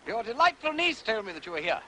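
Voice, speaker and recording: An actor playing an urbane, playful gentleman detective with an upper-class English accent, breezy and courteous in a British detective drama. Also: sits high, sing-song, fast talker, background noise.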